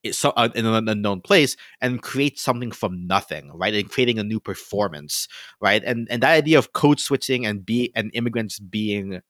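A man's voice: lowish voice, moderate pace, fairly bright tone, no echo, clean studio sound. A man who grew up in the Philippines, hosting a podcast in a loose, casual way with lots of fillers and tag questions. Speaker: podcast host.

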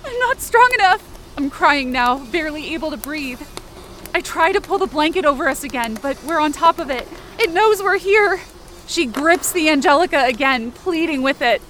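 A woman playing a frightened young girl who narrates an audio fiction horror story in a tense, breathy, emotional way. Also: high-pitched, expressive, normal speed, fairly bright tone, slight background noise. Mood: crying, desperate. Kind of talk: first-person dramatic audio fiction narration.

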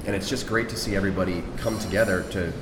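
A man's voice: sits low, fast talker, over music. A man hosting an interview podcast, talking casually with many fillers and restarts. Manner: relaxed, filler-heavy, conversational. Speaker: podcast host.

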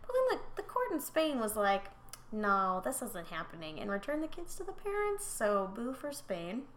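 A young woman co-hosting a casual true-crime podcast, talking bluntly and swearing freely with quick joking asides. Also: a little high, huge pitch swings, fairly bright tone, slight background noise. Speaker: podcast co-host.

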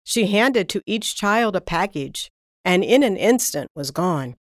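A woman narrating a scripted children's story in a careful, expressive storytelling manner. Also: mid-range voice, measured pace, no room sound, studio quality. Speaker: story reader.

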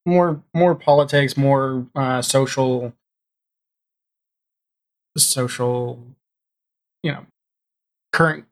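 Halting, mid-pitched male podcast guest. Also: fairly even intonation. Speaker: podcast guest, audio drama showrunner. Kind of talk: casual interview chat.

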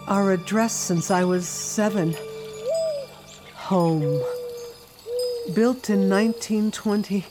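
A woman playing a grieving grown daughter, narrating an intimate audio drama about memories of home with tender, dramatic pauses. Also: medium pitch, close to an everyday voice. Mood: wistful. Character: grieving grown daughter recalling her childhood home with wonder. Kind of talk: intimate first-person audio drama monologue.

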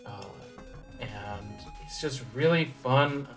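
A young man with an average-pitched voice co-hosts a casual podcast about games. He talks in a relaxed, thoughtful way with dry asides while faint music runs underneath. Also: takes it slowly. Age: young adult. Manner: relaxed, thoughtful, wry humor. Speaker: podcast co-host. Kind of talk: casual game discussion.

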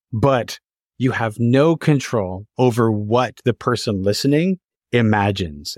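A man's voice: fairly low pitch, darkish tone, no room sound, clean studio sound. A man hosting a reflective interview, speaking thoughtfully and circling back over his phrases as he forms questions. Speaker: interview host.

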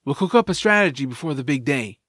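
An actor playing a steady, protective flying sidekick to a hero in a fantasy audio drama. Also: male voice, on the high side, fast talker, no room sound, clean studio sound. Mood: confident.

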